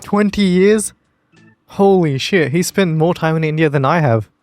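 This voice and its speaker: A man's voice: fairly high pitch, unhurried, darkish tone, soft background music. Wry man reacting with blunt humour. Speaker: reaction video host. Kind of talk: casual commentary over a video.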